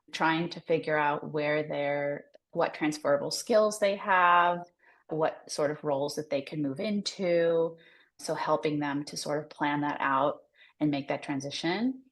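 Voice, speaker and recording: A woman's voice: sits low, a little slow. An American woman explaining her work as a career coach in a conversational, loosely phrased interview style. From United States. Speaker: career coach, podcast guest.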